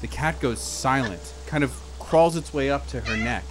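A man's voice: medium pitch, normal speed, background noise. A man narrating an improvised horror game as game master.

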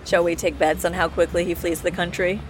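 A woman's voice: on the low side, level tone, fairly quick, noisy background, close to an everyday voice. An actress playing a dry, unflappable woman schemer in an audio drama. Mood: dry, amused. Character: dry, unflappable woman running shady gigs.

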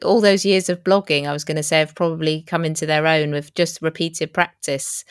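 A woman with a notably deep voice hosts a casual podcast interview. She affirms her guest and thinks aloud with small hesitations. The recording is dry, with no room echo. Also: steady tone, fairly quick, clean tone. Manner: affirming, thoughtful, some hesitations. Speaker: podcast host.

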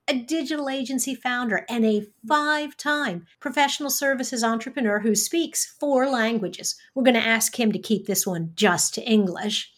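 A woman's voice: a little high, a bit bright. A middle-aged woman hosting a podcast interview, introducing and questioning her guest in an upbeat, chatty manner. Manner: enthusiastic, chatty. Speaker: podcast host.

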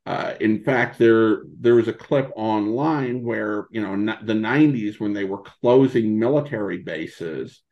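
An American man answering as a podcast guest, conversational and halting as he thinks aloud, on dull lo-fi audio. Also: lowish voice. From United States, rural Montana in early childhood. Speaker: podcast guest, old-time radio podcaster.

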